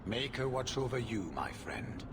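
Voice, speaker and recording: An actor playing a stern male warrior of a sworn order, speaking in a formal, grave and measured way. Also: mid-range voice, big pitch swings. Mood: solemn. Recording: game audio over ambient noise.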